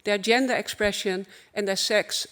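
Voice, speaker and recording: A woman with a Dutch accent giving a formal opening keynote at a conference, earnest and measured, in short phrases. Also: medium pitch, fairly even intonation, fairly bright tone. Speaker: conference speaker.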